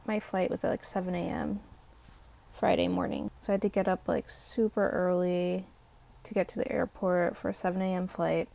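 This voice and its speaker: Creaky-voiced young woman rambling a storytime. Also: on the low side, level tone, moderate pace, dark tone. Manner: rambling, heavy use of "like" fillers. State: slightly hoarse from a sore throat. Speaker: vlogger. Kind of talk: casual storytime.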